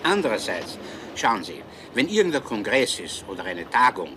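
An actor playing a genial, talkative middle-aged police inspector with a Viennese accent in a radio crime drama. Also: male voice, on the low side, normal speed. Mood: chatty. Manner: chatty, easygoing, lively. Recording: hissy old radio recording.

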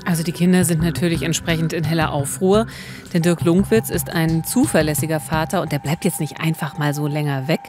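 A woman's voice: low voice, fairly even intonation, fairly quick. A middle-aged woman narrating true crime in a conversational, scripted way at a close studio microphone. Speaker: true-crime podcast host, journalist. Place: small studio, indoors. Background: music.

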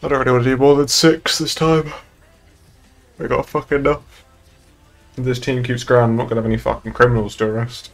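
Man, young adult, creaky voice, blunt sweary banter, game roleplay chat, faint music behind. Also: mid-range voice, level tone, a little fast, long pauses. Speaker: streamer playing a police boss in a roleplay game. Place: indoors at a streaming setup.

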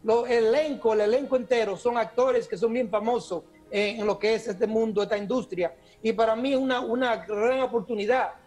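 A middle-aged Dominican man with a Cibaeño accent, talking on a webcam video call as an actor guest, animated and often restarting his words. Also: high voice, moderate pace, few pauses, faint music behind. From Dominican, raised in the United States. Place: small room with posters indoors.

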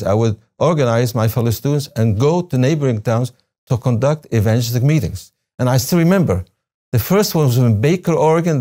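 A man's voice: medium pitch, dark tone. An older man from Syria, a native Arabic speaker with a Syrian Arabic accent, recalling his life as a guest in a studio interview. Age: 60s or older. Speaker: interview guest, retired religion professor. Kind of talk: personal recollection in an interview. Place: television studio, seated at a desk. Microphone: lapel mic.

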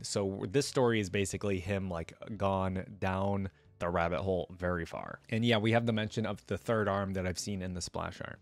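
A man's voice: lowish voice, no echo. A young adult man reading a story aloud with casual commentary into a close desk microphone.

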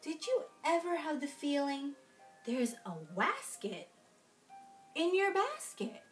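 High, animated young woman reading to children. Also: slow, soft background music. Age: young adult. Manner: expressive, sing-song, addressing young children. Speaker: children's storytime reader in princess costume. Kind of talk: read-aloud children's storytime with asides. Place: small room at home, indoors.

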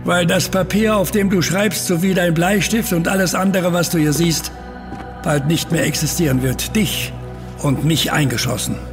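An intense, earnest uncle who watches the stars acted by a man in an audio drama. His voice sits high for a man, with soft music underneath. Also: normal speed, natural voice. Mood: grave. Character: excitable uncle, amateur astronomer turning gravely resigned. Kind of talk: German audio drama acting.

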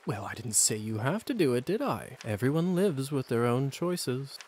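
A man's voice: medium pitch, big pitch swings, moderate pace, a little mellow, slight background noise, lightly acted. An actor playing a suave, sly vampire officer who teases as he instructs, in video game style. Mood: knowing, teasing. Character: suave, sly male vampire hussar, teasing mentor.

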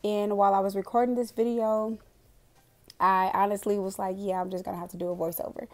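A young woman with a raspy, hoarse voice from a cold, chatting casually in a voiceover while setting up her monthly budget planner, rambling with lots of fillers. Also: mid-range voice, level tone, a little fast, a little mellow. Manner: chatty, rambling, with frequent "um" and laughs. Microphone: voiceover recorded separately from the footage.

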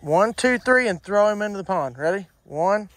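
A man's voice: high voice, lively intonation, moderate pace, fairly bright tone, no echo. A man in his thirties with a Kentucky accent, patiently talking kids through fishing at a farm pond, recorded on a handheld phone outdoors. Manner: patient, encouraging, coaching kids step by step. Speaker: fishing vlogger, uncle. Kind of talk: casual outdoor vlog. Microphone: handheld phone camera.

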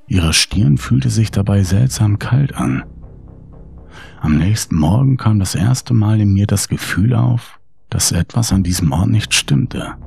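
A man narrates a horror audiobook in a low voice full of creak. His tone is husky and rough at the edges, and music plays behind the first-person story. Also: darkish tone.

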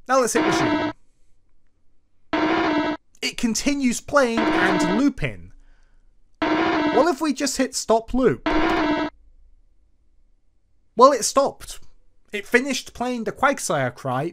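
Screencast tutorial presenter, informal and explanatory, very animated intonation. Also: mid-range voice. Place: voice-over for a screen recording.

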